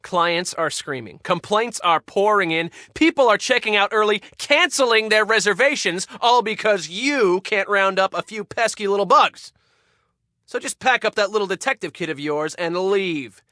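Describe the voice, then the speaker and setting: An actor playing a pushy, irritable spa resort manager in a video game, snippy and sarcastic. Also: male voice, high voice, expressive, moderate pace, bright, forceful tone, no echo, studio quality. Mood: exasperated, scornful. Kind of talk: scripted video game dialogue.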